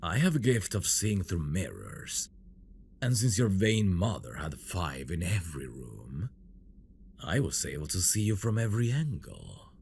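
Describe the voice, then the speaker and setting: A possessive fantasy villain, acted by a man, speaks slowly and intimately to his bride in an ASMR audio drama. His deep murmur is highly expressive, teasing and seductive, often sinking to a breathy whisper. Faint ambient noise sits behind him. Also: exaggerated voice. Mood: tender, longing. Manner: slow, teasing, seductive delivery.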